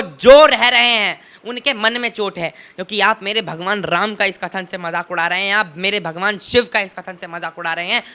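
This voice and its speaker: A man's voice: high-pitched, bright, forceful tone. A teenage young man giving impassioned political commentary in an interview, emphatic and oratorical, leaning on rhetorical repetition.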